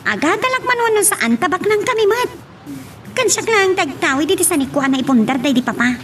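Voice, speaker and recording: A spoiled young student daughter in a radio love drama. Her high voice swings freely as she talks back, petulant and flippant. There is faint noise on the track. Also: very animated, brisk, a bit bright, heavily acted. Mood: sulky. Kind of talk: radio romance drama acting.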